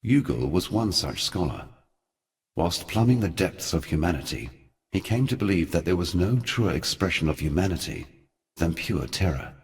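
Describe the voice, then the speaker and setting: An ancient god of a video game, speaks in an extremely deep voice. He is grave and weary, with a scornful edge. His storytelling is formal, measured and theatrical. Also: very animated. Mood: grave, brooding. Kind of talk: scripted dramatic video game narration.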